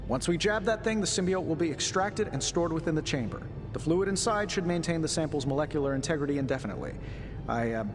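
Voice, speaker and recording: An actor playing a wisecracking middle-aged inventor superhero in a video game, with a glib, chatty manner. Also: male voice, animated, fast, over music, close to an everyday voice. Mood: glib, explaining. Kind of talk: cinematic video game acting. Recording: long reverb, clear rumbling noise.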